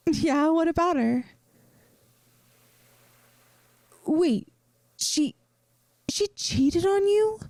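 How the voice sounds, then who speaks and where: Caring young woman played by an actress, best friend secretly in love; slow, close and dry, soothing ASMR roleplay delivery. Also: high voice, no echo. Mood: shocked, indignant. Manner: gentle, soothing, playful, earnest. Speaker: audio roleplay performer. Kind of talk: soft intimate ASMR roleplay acting.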